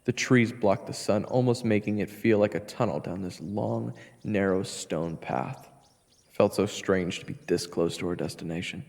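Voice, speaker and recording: A man's voice: low voice, creaky, darkish tone, long reverb tail. A man narrating a scripted fantasy story in the first person. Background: faint music.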